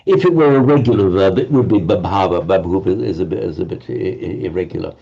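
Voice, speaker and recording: A man's voice: sits low, gravelly, warm tone, breathy edge, muffled recording. A man teaching an online language class, patiently correcting pronunciation and often stammering over his words. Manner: halting, stammering repeats, patient. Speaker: Sanskrit teacher.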